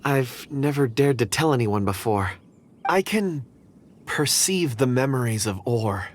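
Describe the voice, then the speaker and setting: A woman's voice: extremely low pitch, expressive, unhurried, background noise. A woman voicing an earnest, timid young man in a video game, polite and hesitant. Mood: hesitant, secretive. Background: game music.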